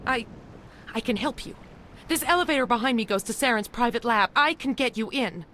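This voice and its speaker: An actress playing a frightened alien scientist in a video game, anxious and pleading. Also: female voice, fairly high pitch, animated, brisk, sharp tone. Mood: nervous, pleading.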